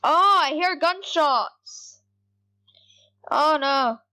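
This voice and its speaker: A woman with a high voice gives casual, rambling commentary over her gameplay. She speaks at an unhurried pace and chats excitably to her viewers as she plays. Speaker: gaming YouTuber.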